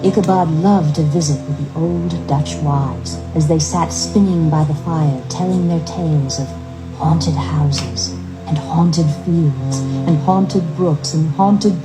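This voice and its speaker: A woman reading a scripted story as narrator, with measured, expressive dramatic delivery. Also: low voice, over music, rough recording.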